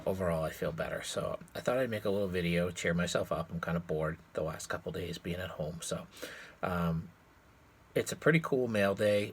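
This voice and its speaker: A man with a very deep voice, made husky and raspy by a cold. He talks in a relaxed, rambling way full of fillers, like a casual home vlog shot on a phone. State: hoarse from a cold. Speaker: sports card collector vlogger. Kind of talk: casual show-and-tell vlog. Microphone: cell phone.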